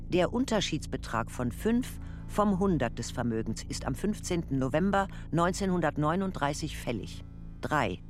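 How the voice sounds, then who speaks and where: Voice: medium pitch, close to an everyday voice. An actor playing a formal Nazi-era ministry voice, reading out a decree in a documentary radio play.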